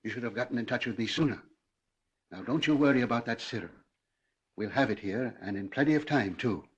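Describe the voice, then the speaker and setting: An actor playing a blustery, soft-hearted older judge, sputtering and imperious in old movie style. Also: male voice, mid-range voice, animated, a little fast, slightly rough, muffled recording. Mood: commanding. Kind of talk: old Hollywood melodrama acting.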